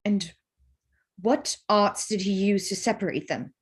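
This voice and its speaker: A woman acts out a proud young gentlewoman in a Regency drama reading. Her voice has a slightly husky edge and sits at an ordinary female pitch. She phrases everything formally and pointedly, with sharp-tongued indignation. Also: a little slow. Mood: probing, tense. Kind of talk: staged reading of a period drama.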